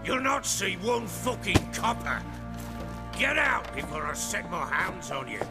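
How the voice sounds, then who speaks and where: An actor playing a gruff, domineering middle-aged baron in a fantasy video game, with a rough voice, blustering and crude, in a reverberant space. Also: male voice, high voice, big pitch swings, over loud music. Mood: furious. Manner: blustering, swaggering, deliberate speechmaking.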